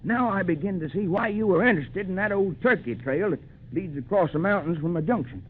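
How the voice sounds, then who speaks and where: Folksy, forceful frontier townsman, animated delivery, vintage radio play with muffled sound and hiss. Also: high-pitched, fairly quick. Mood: curious. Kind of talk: 1940s radio drama acting. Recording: dull, noisy 1940s radio recording.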